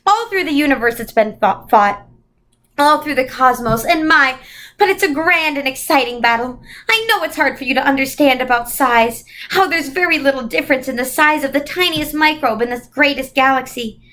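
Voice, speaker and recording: A gentle, comforting old lady from a children's storybook, played by a woman reader. Her voice is high and motherly, and she chats along reassuringly like a fond elder. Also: normal speed. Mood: encouraging. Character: kindly, comforting, chatty old celestial woman.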